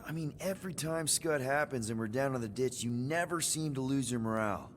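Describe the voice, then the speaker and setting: A man's voice: medium pitch, brisk, background noise, natural voice. A man playing an easygoing but exasperated young space-adventurer leader in a video game, casual and wry. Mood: friendly, curious.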